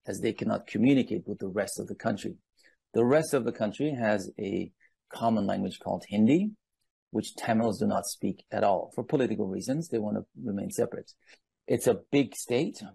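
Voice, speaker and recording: Deep-voiced, gravelly man telling his story. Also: dark tone, no echo. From Iran. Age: 50s. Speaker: guest speaker on palm leaf reading. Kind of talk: personal storytelling and explanation.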